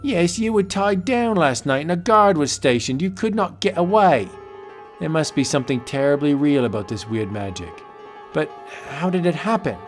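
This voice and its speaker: A man playing a blustering, scornful colonial colonel, loud and dismissive, in a comic book audio drama. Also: fairly high pitch, expressive, moderate pace, faint music behind, pitched above the performer's own voice, close to an everyday voice. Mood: astonished.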